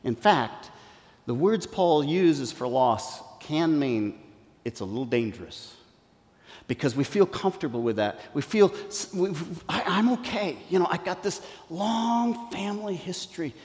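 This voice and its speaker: A man preaching a sermon, explaining scripture with emphatic stresses that fall to quiet, intense phrases. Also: fairly high pitch, lively intonation, unhurried. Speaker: pastor. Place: church hall. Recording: long reverb of a church hall.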